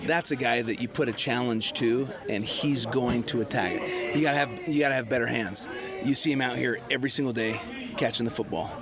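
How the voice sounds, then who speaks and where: A man's voice: mid-range voice, a little fast, music in the background. A man, a football coach, answering reporters informally at an outdoor media scrum. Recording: outdoors with busy crowd behind.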